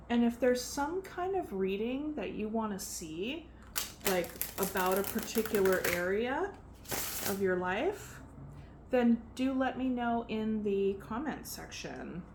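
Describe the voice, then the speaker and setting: A soft-spoken woman giving a casual tarot reading, chatty and relaxed with frequent fillers. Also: mid-range voice, slow. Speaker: tarot reader. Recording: faint background noise.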